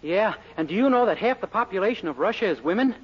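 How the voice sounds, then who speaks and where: An American actor playing a naive, eager, comically dim young boyfriend with innocent earnestness in a 1940s radio sitcom. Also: male voice, sits high, expressive, fast. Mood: blunt, eager. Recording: noisy, muffled 1940s radio recording.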